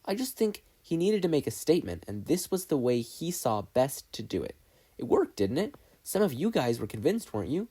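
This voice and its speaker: Man acting a passionate, animated teenage boy. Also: mid-range voice, normal speed, slightly rough. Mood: determined, insistent. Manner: earnest, insistent. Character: passionate, determined, argumentative teenage boy student.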